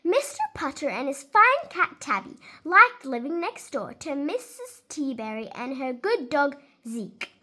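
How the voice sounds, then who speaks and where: High-pitched, animated woman reading a storybook. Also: a little slow, hardly any pauses. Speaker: storyteller reading a children's book aloud. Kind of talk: expressive read-aloud of a children's storybook.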